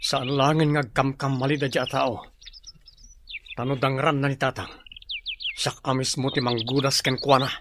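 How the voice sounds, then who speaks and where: Man playing an earnest son amid loud birdsong. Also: mid-range voice, little pitch movement, moderate pace, pauses often, background noise, lightly acted. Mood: determined. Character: earnest, protective tribesman, a determined son. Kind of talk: radio drama acting.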